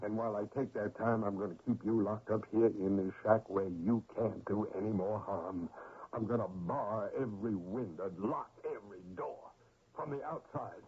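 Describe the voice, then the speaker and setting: A man playing a grieving, stern old father in a radio drama, with a rural American accent and husky, folksy, deliberate speech. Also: fairly low pitch. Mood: bitter, resolute. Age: older. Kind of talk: 1970s radio drama acting. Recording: muffled, phone-like old radio recording.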